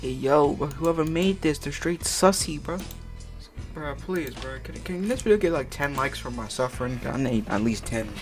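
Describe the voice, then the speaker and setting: A man with a somewhat high-pitched voice reads fan fiction aloud over background music. He stumbles through the narration and keeps dropping into casual, swearing, exasperated commentary in heavy slang. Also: expressive, normal speed. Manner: slangy, profane, exasperated asides; stumbles over words while reading. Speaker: host reading fan fiction aloud for an online video. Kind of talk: comic dramatic reading with casual side commentary. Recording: music playing behind the voice.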